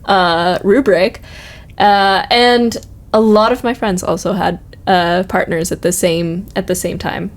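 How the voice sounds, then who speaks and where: A woman's voice: medium pitch, unhurried. A young woman chatting casually and informally on an office podcast, peppering her talk with "like" and "you know". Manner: animated agreeing, informal, frequent "like" and "you know". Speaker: podcast guest.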